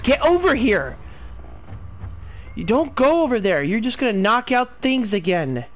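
Casting: slow, rambling man narrating gameplay, lively pitch. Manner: rambling, talks to himself. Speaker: gameplay streamer. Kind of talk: casual gameplay commentary.

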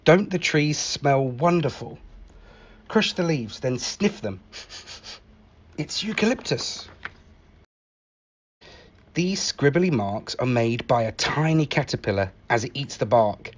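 A man reading a picture book aloud in an expressive, animated manner, acting out the exclamations. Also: mid-range voice, slow, long pauses, dull audio. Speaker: library staff story reader.

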